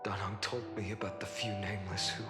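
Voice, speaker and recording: An actor playing a noble, protective lord and resolute leader in a fantasy game, speaking earnestly with measured, dramatic pauses. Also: male voice, on the low side, level tone, close to an everyday voice. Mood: earnest, heartfelt. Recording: echoey hall reverb under loud music.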